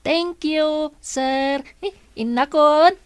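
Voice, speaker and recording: A woman playing a polite, playful, chatty young boy in an audio drama, with slow, drawn-out delivery. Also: high voice. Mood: polite, eager.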